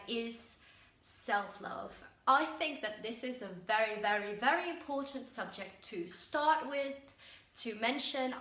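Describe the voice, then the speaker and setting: A young woman with a Dutch accent talks earnestly about self-help, pausing on fillers and restarting her sentences. Her voice is bright and sits a little high. The room around her rings with echo. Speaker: vlogger. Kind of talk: casual self-help talk to camera.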